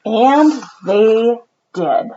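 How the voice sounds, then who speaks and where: Woman reading a kids book out loud, high lively voice, kinda lo-fi recording. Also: drawn-out words, a little hiss or hum. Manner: animated, dramatic storytelling with whispered asides. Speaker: storybook reader. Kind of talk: expressive read-aloud narration of a children's picture book.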